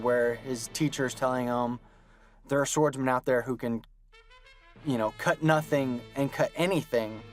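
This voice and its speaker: A man of middle pitch hosts a commentary, rambling thoughtfully and filling pauses with "you know." Faint music plays in the background. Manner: thoughtful, rambling, many fillers. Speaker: commentary host. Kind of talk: commentary chat.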